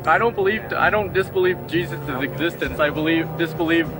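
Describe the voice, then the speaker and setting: A man speaking off the cuff as a skeptical questioner in a debate, halting as he searches for words. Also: fairly high pitch. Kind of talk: spontaneous challenge in a debate. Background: music.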